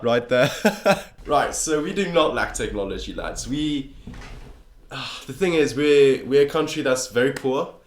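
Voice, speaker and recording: Sarcastic teenage boy vlogging. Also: mid-range voice. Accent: mixed English and American. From South Africa. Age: teens. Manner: sarcastic, joking, swears casually. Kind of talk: casual commentary. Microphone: phone taped to a computer monitor.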